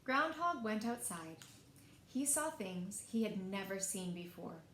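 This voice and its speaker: A woman reading picture books aloud as a storyteller, in an expressive, deliberate way meant for young children. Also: mid-range voice. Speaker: library storyteller. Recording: echoey room, faint background noise.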